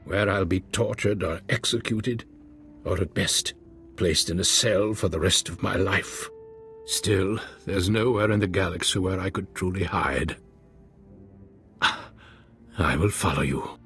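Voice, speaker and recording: A man playing a wounded defecting military general, weary and fatalistic, with a husky, strained voice in a science fiction game. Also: low voice, a little slow, long pauses, a bit bright, faint music behind. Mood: grim resignation.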